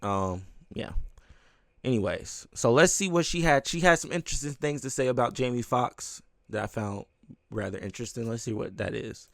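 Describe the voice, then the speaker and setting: Man with gravelly, creaky voice, casual commentary. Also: mid-range voice, normal speed, no echo. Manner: informal, rambling, repeats phrases for emphasis; swears casually. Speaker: YouTube commentary host. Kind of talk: casual unscripted celebrity gossip commentary.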